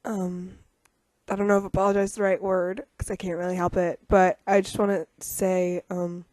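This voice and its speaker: A young woman with a rough voice from getting over a cold, chatting casually over a game video with lots of ums and self-deprecating asides. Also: lowish voice, flat delivery, moderate pace, a little mellow, no room sound, studio quality. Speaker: YouTuber narrating a game speed build.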